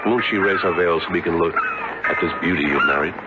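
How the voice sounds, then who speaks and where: A man's voice: low-pitched, fairly quick, over loud music, natural voice. A man playing a genial, inquisitive married fellow in 1970s radio drama, talkative and exclamatory, stammering when flustered. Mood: teasing, eager. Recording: very noisy, muffled old radio broadcast recording.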